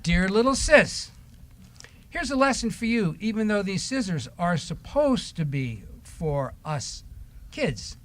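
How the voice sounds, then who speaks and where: Slow-talking man leading a children's time. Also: sits high, very animated, a bit bright. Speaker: children's time leader at church. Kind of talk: reading aloud and chatting to children.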